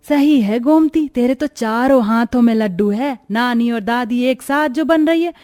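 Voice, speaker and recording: A woman's voice: fairly high pitch, moderate pace, a little mellow, no room sound, clean studio sound, lightly acted. A woman voicing a kindly, sensible grandmother neighbour in a cartoon story, good-naturedly chiding and advising. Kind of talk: acted Hindi cartoon story dialogue.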